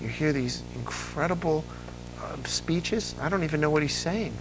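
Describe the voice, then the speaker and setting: A man's voice: on the high side, normal speed, noisy background. A middle-aged American man being interviewed as a radio fan, telling stories conversationally and repeating phrases for emphasis. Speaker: interview guest, old-time radio fan. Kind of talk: animated conversational storytelling.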